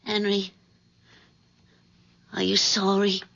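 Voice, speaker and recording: A scheming lover in an old radio thriller, with a bright, forward voice. She is fretful but dryly wry. The recording is dull and dated. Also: medium pitch, monotone, takes it slowly, creaky, heavily acted. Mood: soft, resigned. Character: wry, fretful mistress of a married man, his accomplice. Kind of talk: 1970s radio drama acting.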